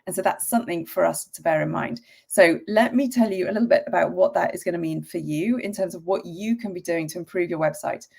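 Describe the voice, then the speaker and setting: A woman hosting a casual live-stream tutorial, croaky from a cold and talking in a chatty way with frequent ums and the odd cough. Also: on the low side, a little fast, few pauses, darkish tone.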